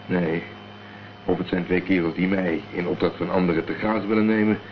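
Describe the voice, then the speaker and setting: A man's voice: deep voice, fairly even intonation, fairly quick, lightly acted. A man acting a cool, determined amateur investigator in a Dutch radio crime drama, speaking tersely and matter-of-factly. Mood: wary, calm. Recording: dull, noisy old radio play recording.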